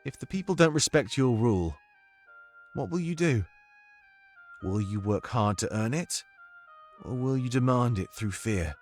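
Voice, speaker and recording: A man playing a thoughtful fantasy ally who counsels a monarch, speaking in a measured, questioning, principled way. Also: mid-range voice, expressive, soft background music. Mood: probing, firm. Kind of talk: fantasy audio drama acting.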